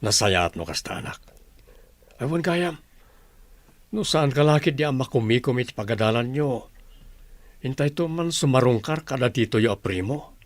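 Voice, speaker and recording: A man's voice: medium pitch, animated, normal speed, long pauses, dry recording, close to an everyday voice. A man playing a caring, hardworking village father who fusses over his daughter in a radio drama. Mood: caring, advising. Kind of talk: radio drama acting in Ilocano.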